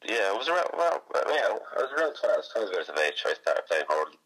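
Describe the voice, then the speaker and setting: An Irish man answers interview questions casually. His voice sits on the low side, with a very bright, tense edge. He circles back over phrases and peppers his talk with little check-ins. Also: big pitch swings, a little fast, poor audio. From born in Iraq, moved to Ireland as a child. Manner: repetitive, conversational, peppered with "you know". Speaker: interview guest, hurler.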